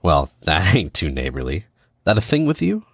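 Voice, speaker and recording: A man playing a cocky, needling American spy in an audio drama, casual and clipped, with quiet menace. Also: low-pitched, huge pitch swings, normal speed, slightly rough, no room sound, clean studio sound, full character voice. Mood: cocky, teasing.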